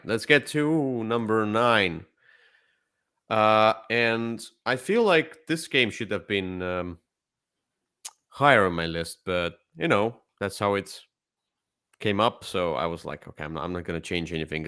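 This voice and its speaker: A man co-hosting a casual board game discussion, enthusiastic, with halting, repetitive phrasing. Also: sits low, pushed and bright, clear, resonant tone. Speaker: co-host.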